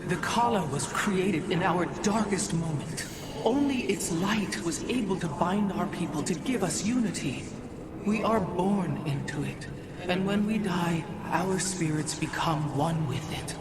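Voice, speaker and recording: A woman's voice: lowish voice, a little slow, lightly acted. Devout female alien elder for game drama woman actor, solemn, formal, somewhat far off under heavy noise. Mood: solemn, reverent. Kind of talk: dramatic sci-fi game acting. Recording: distant, very noisy ambient game audio.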